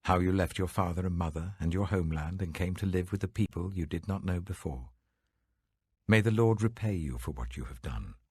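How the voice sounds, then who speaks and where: A British man voicing a kindly, dignified older landowner in an audiobook scripture story, gentle, authoritative, protective and generous. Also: low voice, a little fast, husky, no echo. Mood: blessing.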